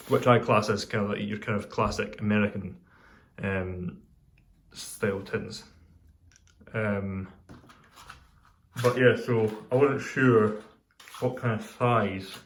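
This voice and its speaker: Rambling Scottish man, low voice. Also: slight background noise. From Scotland. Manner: rambling, hesitant, many ums and restarts; pauses while lighting a pipe. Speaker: pipe tobacco reviewer. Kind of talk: casual video review.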